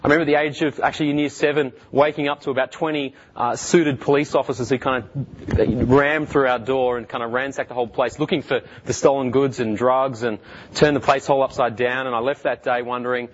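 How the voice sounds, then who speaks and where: An Australian man in his thirties, a guest speaker telling his life story in earnest, halting speech full of fillers and restarts. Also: medium pitch, steady tone, dull audio, slight background noise. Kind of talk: personal testimony talk.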